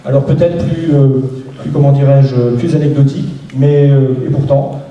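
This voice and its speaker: A man's voice: medium pitch, fairly even intonation, normal speed, lo-fi sound. A man giving a local campaign speech, hesitant, with many restarts and fillers. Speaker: local election candidate. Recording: echoey room, voice from far off.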